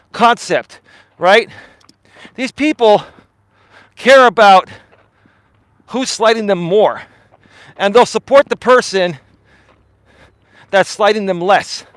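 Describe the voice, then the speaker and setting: A man with a fairly high voice rants to his live-stream audience, blunt and argumentative, and keeps qualifying his points. The sound is dry and close, with no room echo, captured on a mobile mic while he walks outdoors. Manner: blunt, argumentative, repeats "in my opinion". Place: outdoors on a walk.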